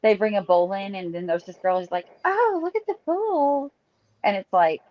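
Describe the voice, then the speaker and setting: A woman co-hosting a casual podcast, chatty and quick to laugh. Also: medium pitch, lively intonation. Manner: chatty, laughs easily, suggests ideas. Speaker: podcast co-host. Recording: dull lo-fi mic.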